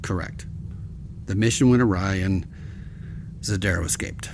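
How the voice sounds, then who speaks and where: A man acting a grave, formal senior admiral in an audio drama, speaking in a measured, deliberate way. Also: deep voice, gravelly, noisy background. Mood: probing, official.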